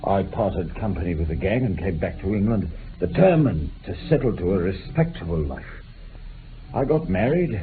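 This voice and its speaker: An actor playing a remorseful, dying old former bushranger in a radio drama, making an impassioned, halting confession. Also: male voice, low-pitched. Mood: bitter. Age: older. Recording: muffled phone-like old radio recording, hiss.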